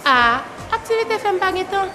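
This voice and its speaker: Brief: a woman as a confident, chatty woman selling bonds in a radio ad, sing-song and animated. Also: very high voice, moderate pace. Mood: persuasive. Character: confident woman explaining bank bonds to a friend. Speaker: radio ad character. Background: music.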